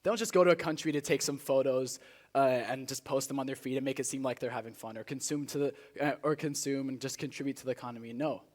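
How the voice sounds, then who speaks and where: Teenage male student speaker, medium pitch, earnest and rehearsed public-speaking delivery with deliberate phrasing. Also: fairly even intonation. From Spain, born in South Africa. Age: teenager, high school student. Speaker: student speech contestant. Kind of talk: rehearsed motivational speech to a live audience.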